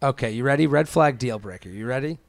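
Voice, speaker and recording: Male podcast co-host, gravelly fry, informal conversational read, very dry studio sound. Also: mid-range voice, fast, dry recording. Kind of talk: casual podcast banter, reading listener emails aloud.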